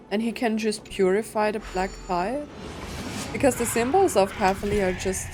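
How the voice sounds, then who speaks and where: Female let's-play host, slow delivery, casual asides and mumbled reactions, faint game music underneath. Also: mid-range voice. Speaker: game streamer playing through a story quest.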